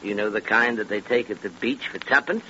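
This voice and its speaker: Sharp-voiced seasoned British police inspector. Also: male voice, on the low side, fairly quick. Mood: reflective, assured. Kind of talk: 1930s radio acting. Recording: noisy, muffled 1930s radio recording.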